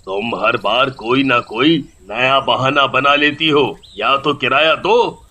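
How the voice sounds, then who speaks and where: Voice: mid-range voice, slow pace, bright, forceful tone. A performer voicing a harsh, impatient male landlord in a moral story, scolding and threatening with contempt. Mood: angry, threatening. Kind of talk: animated moral story villain acting.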